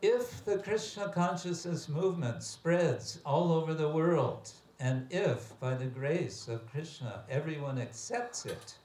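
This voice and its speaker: A man giving a scriptural lecture as a religious teacher, reading aloud and commenting with deliberate, emphatic phrasing and pauses. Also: a little high, lively intonation, a little mellow. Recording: echoey room with background hiss and ambience.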